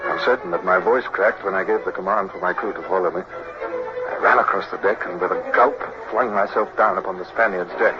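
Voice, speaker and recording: An actor playing a proud young British naval officer who recounts his adventures in a measured, literary 1950s radio drama style. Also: sits low, close to an everyday voice. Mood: tense recounting. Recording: muffled old radio recording, music behind.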